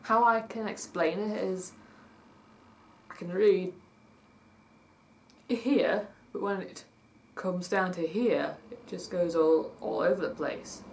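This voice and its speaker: A woman vlogging with a husky voice that has not warmed up yet, chatting in a rambling way, reading points from a list and spelling words out letter by letter. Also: lowish voice, takes it slowly, long pauses. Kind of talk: casual vlog, reading points from a list and commenting. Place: at home by day, with traffic outside. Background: faint traffic.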